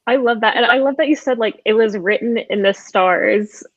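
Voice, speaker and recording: A woman's voice: on the high side, normal speed, no room sound, muffled recording. Woman hosting a podcast, dry close sound. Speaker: podcast host. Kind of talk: conversational podcast interview.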